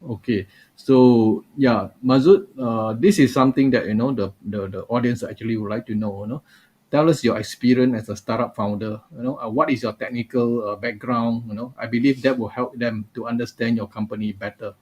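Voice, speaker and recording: A man hosting a live online session from a video call. His voice sits at an ordinary middle pitch. He talks in a loose, chatty way, with plenty of "uh" and "you know" as he greets people and puts questions to guests. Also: a little fast, darkish tone, husky, highs cut off. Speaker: webinar host.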